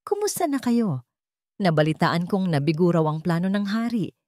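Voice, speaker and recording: A woman's voice: lowish voice, very animated, normal speed, a little mellow, dry recording, studio quality. A woman voicing a seemingly kind, concerned uncle who is a hidden villain in a dramatic story. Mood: feigned concern. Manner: solicitous.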